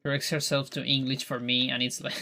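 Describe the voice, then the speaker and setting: A Chilean man whose first language is Spanish, with a loose, mixed American accent. His voice is bright and forward, at a middling pitch. He chats casually over a speedrun stream, chuckling and letting sentences trail into murmurs. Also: a little hiss or hum. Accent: mixed American with Chilean undertone. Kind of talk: casual live-stream chat while playing.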